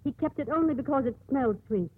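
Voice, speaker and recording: An earnest, devout nun who pleads with firm conviction. Her voice is high and husky, in the style of 1950s radio drama. The sound is narrow and muffled, like an old broadcast. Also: fast talker. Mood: urgent.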